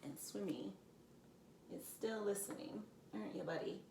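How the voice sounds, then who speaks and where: A woman hosting a children's storytime, reading aloud expressively and deliberately, with casual asides. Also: medium pitch, gravelly, dark tone. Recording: roomy, distant mic, faint background noise.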